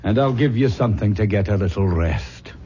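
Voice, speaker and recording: An actor playing an earnest, paternal family doctor with an authoritative manner in an old-time radio drama. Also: male voice, lowish voice, faint music behind, lightly acted. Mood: firm, paternal. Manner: measured bedside authority, expressive. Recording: dull, noisy vintage radio recording.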